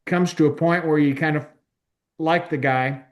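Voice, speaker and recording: A man's voice: a little high, fairly even intonation, normal speed. A man giving informal film recommendations in a group, matter-of-fact and piecemeal. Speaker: film club member.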